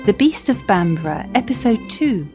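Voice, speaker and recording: A woman's voice: mid-range voice, big pitch swings, moderate pace. A woman announcer reading scripted cast credits for a radio drama, with music playing behind her.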